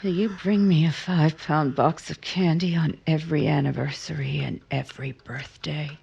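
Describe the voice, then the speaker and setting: A woman playing a disillusioned, wistful married woman in a 1950s-style radio drama, breathy and husky, often dropping to a half-whisper. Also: deep voice, fairly even intonation, moderate pace, darkish tone, dull audio, heavily acted. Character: disillusioned married woman, wistful, bruised, romantic, gently challenging.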